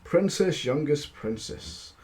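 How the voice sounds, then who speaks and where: A man's voice: fairly high pitch, expressive, slow pace, a little mellow. A man voicing a pleading, insistent talking frog from a storybook, calling out in archaic phrases.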